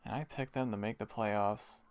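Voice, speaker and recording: A man's voice: on the low side, fairly even intonation, normal speed, smooth. A Canadian man hosting a live hockey watch party, chatting casually, explaining the game and chuckling often. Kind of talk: casual live-stream chat.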